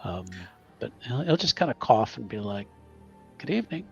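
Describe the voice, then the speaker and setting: Guy playing a tabletop rpg, deep-ish fry voice, chatty and up and down, bit dull audio. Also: sing-song, moderate pace, darkish tone, soft background music. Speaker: tabletop game player. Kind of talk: casual narration of character's actions.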